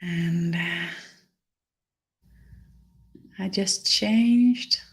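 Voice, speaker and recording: A woman with a Danish accent, her first language Danish, leading a live-streamed spiritual healing session in a halting way, pausing to search for words. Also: lowish voice. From Denmark. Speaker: energy healer.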